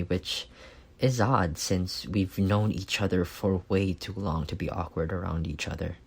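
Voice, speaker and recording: A man acting a reserved, analytical young man in an intimate ASMR romance roleplay, speaking softly and deliberately with some hesitation. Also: low-pitched, creaky, full character voice. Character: reserved, analytical young man confessing love to best friend.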